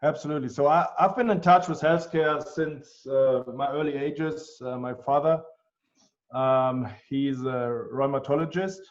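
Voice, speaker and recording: A man with a German accent, answering interview questions in an earnest, measured way with frequent ums. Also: mid-range voice, steady tone. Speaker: guest, healthcare tech executive. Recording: dull, lo-fi sound.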